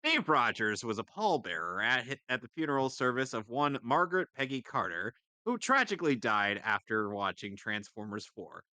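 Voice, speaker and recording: Male comedy co-reader, clear, resonant tone in a dry close recording, emphatic and conversational. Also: mid-range voice, big pitch swings, normal speed, few pauses, pushed and bright, no room sound. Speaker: co-reader on a livestream. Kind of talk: comedic dramatic reading with casual banter.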